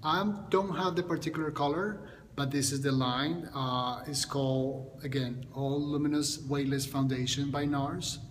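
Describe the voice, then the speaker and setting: A middle-pitched man in his forties, speaking English, walks viewers through a makeup tutorial. He talks haltingly, often restarting and repeating words. The recording has a long reverb and a faint hiss behind it. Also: fairly even intonation. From Colombia. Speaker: makeup artist.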